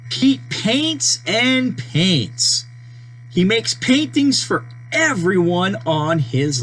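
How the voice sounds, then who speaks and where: A person reading a children's storybook aloud, with deliberate, simple phrasing and page-turn prompts. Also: medium pitch, poor audio. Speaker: storybook reader. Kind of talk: children's read-aloud narration. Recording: steady mains hum behind voice.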